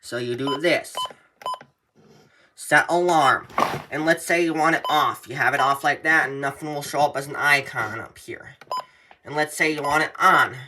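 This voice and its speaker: A man's voice: a little high, normal speed. A man giving a casual hands-on tutorial in an informal, folksy, rambling way, recorded on a handheld camera. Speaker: tutorial presenter. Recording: clear background noise, handling clicks.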